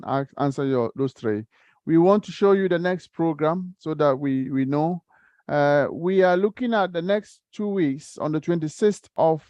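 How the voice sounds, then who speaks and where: A courteous man moderates an online question session, thanking each caller and handing over to the next. His voice sits a little high for a man. The sound is dry and dull, like a muffled call line. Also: a little mellow, no room sound. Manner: polite, courteous, calls on callers by name. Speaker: forum moderator.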